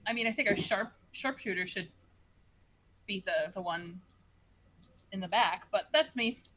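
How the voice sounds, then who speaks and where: A woman's voice: mid-range voice, measured pace, fairly bright tone. A woman playing a tabletop roleplaying game, bantering casually as a player.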